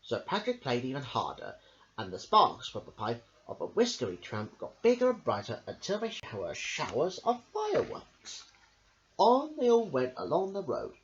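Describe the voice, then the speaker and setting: A man reads a children's storybook aloud, his voice leaping up and down in a hugely animated sing-song. He sits at a middling pitch and drops in casual asides, and the sound comes through a dull lo-fi home recording. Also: moderate pace, slight background noise. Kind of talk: reading a children's picture book aloud.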